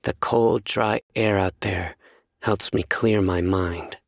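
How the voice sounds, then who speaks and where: An actor playing a solemn, stern young enforcer of justice in a video game, speaking in a measured, formal, deadpan way. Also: male voice, low voice, gravelly, dry recording, studio quality, full character voice. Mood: solemn, reflective. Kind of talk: scripted video game character voice lines.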